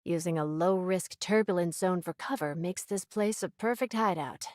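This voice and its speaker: A woman voicing a grumbling, sharp-minded female pirate in an anime video game, reproachful and matter-of-fact. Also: on the low side, normal speed, no room sound, studio quality, close to an everyday voice. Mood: analytical.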